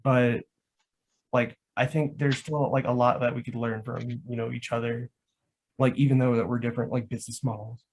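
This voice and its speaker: A man's voice: mid-range voice, flat delivery, moderate pace, husky. A man explaining things in casual chat as a guest, rambling and leaning heavily on the word "like". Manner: rambling, says "like" constantly. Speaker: guest, live action roleplay organiser.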